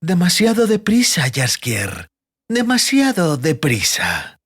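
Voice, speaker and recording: A man performs a sadistic male interrogator from a fantasy audiobook. He speaks slowly and deliberately, with lively rises and dips. The manner is coldly menacing, sneering and mocking. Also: fairly high pitch, slightly rough, heavily acted. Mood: mocking menace.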